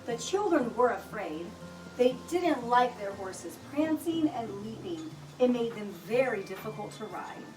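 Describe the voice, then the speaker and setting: A woman reading a children's picture book aloud with expressive, deliberate storytelling delivery. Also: fairly high pitch. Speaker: storybook reader. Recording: roomy echo, distant mic, music behind.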